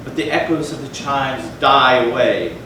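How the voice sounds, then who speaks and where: A man delivers a gothic tale as a dramatic stage reading. His phrasing is measured and theatrical, with deliberate pauses. The recording is distant and heavily reverberant, with clear noise over it. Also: medium pitch. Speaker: readers theatre performer. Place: large echoey room, live stage performance. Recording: echoey hall from far off, noisy.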